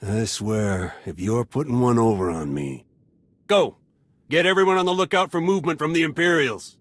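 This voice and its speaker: An actor playing a suspicious, hot-headed military captain in a video game, blunt and commanding. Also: male voice, medium pitch, moderate pace, dry recording, natural voice. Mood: alarmed, commanding. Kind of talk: video game character acting.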